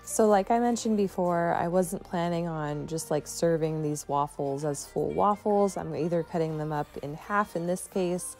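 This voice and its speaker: A stay-at-home mom giving a chatty, relaxed voice-over for her home vlog, often saying "like" and "you know". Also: lowish voice, moderate pace, hardly any pauses, faint music behind. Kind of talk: casual voice-over narration.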